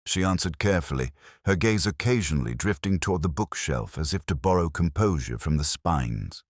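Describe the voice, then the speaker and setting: A man playing a melancholy, observant police inspector, narrating a detective audio drama in a measured, literary manner. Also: low voice, lively intonation, no room sound, studio quality. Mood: brooding, reflective.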